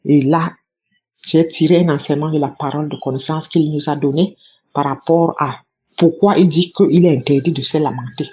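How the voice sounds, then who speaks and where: A woman's voice: sits low, fairly even intonation, normal speed, slightly rough. A woman giving a fervent spoken religious testimony, repeating her phrases for emphasis. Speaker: church member giving testimony. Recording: dull lo-fi recording.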